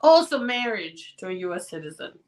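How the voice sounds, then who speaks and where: A woman immigration attorney hosting a live question-and-answer show, reading viewer questions and answering them directly while her voice is going hoarse. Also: mid-range voice, very animated, distant mic. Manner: direct and advising, with quick practical answers.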